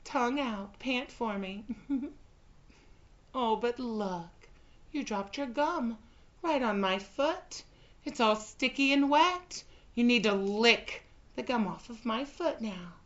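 A woman performing a scripted audio roleplay in a slow, teasing, commanding manner. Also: on the high side, animated, a bit bright, dull audio.

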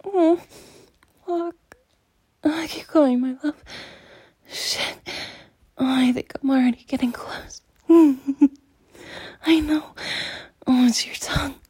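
A woman in an intimate adult audio roleplay, speaking in a breathy, teasing near-whisper with frequent sighs. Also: sits high, mellow, no room sound. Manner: slow, intimate, teasing, sighing.

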